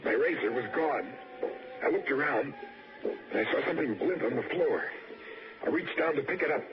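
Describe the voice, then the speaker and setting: An actor playing a nervous, defensive young murder suspect with a hesitant, stammering delivery in a 1960s radio drama. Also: male voice, mid-range voice, expressive, moderate pace, music in the background, natural voice. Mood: dazed, tense. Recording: dull, faintly echoing, noisy old radio recording.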